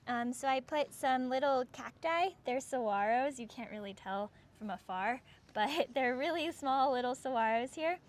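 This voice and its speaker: A woman's voice: high-pitched, normal speed, few pauses. A young American woman explaining something casually, with an informal way of talking full of "like" and "sort of". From United States. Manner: informal, frequent 'like' and 'sort of'. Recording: clear background noise, ambient sound.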